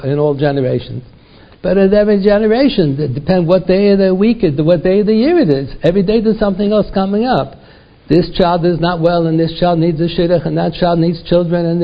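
An older American man, a rabbi giving a Torah lecture to a congregation, repeating words and asking rhetorical questions, peppered with Yiddish and Hebrew terms. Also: a little high. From United States. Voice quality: gravelly, husky. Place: synagogue lecture. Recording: dull lo-fi recording.

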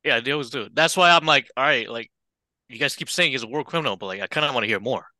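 A man's voice: medium pitch, lively intonation, fast, bright, forceful tone, dry recording. A man co-hosting a political podcast, laid-back, laughing often and swearing casually. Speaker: podcast co-host.

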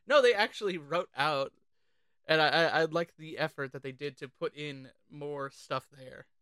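A podcast co-host talking casually and informally about films, animated and sweary. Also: mid-range voice, moderate pace, clear, resonant tone, dry recording. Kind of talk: casual film discussion.

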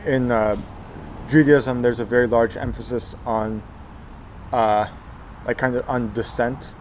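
A man in his twenties from Texas, telling his life story in an interview, halting with many fillers and restarts. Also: mid-range voice, steady tone, slight background noise. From Texas, USA. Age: 20s. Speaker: guest, Zen monk.

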